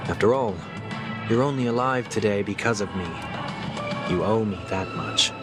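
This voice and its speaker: Loud music plays under a man acting as a stoic fugitive from a video game. His voice sits on the low side. He speaks formally and with composure, self-reproaching yet quietly sure of himself. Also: lightly acted. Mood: composed, dry. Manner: composed, formal, measured phrasing.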